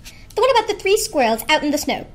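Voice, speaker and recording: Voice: high voice, very animated, quick pace, pitched above the performer's own voice. A narrator voicing a prim, bossy, brusque female squirrel in a storybook. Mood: disapproving.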